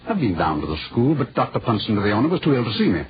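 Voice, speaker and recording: An actor playing an earnest, agitated British lord with a formal manner, in 1940s radio style. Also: male voice, medium pitch, very animated, very fast, close to an everyday voice. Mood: suspicious. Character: earnest, agitated young English lord seeking justice. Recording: muffled, hissy old radio recording.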